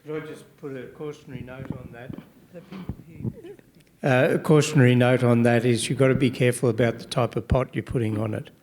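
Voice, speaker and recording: A man's voice: medium pitch, level tone, brisk, darkish tone. Australian man, mid-range voice, careful and precise.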